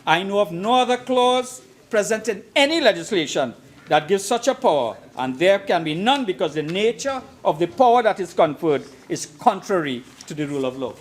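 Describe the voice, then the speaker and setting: A man with a notably high-pitched voice delivers a formal speech in parliament. He is emphatic and deliberate, often repeating a phrase to give it weight, in the measured register of legislative debate. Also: very animated. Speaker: member of parliament. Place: parliament chamber.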